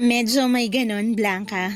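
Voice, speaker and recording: Girl doing a flippant teasing filipina mistress, radio drama, high voice, soft music behind. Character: cheeky, flippant kept mistress.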